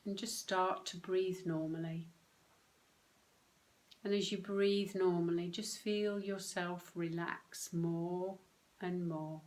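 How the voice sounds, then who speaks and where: Woman, meditation guide, lowish voice, very slow pace, gentle, short phrases, long pauses. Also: fairly even intonation. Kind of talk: guided meditation, soothing instruction.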